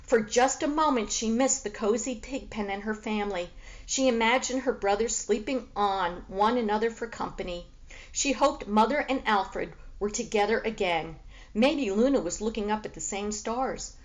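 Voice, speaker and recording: A woman reading a children's book aloud as expressive storybook narration. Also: medium pitch, moderate pace, muffled recording.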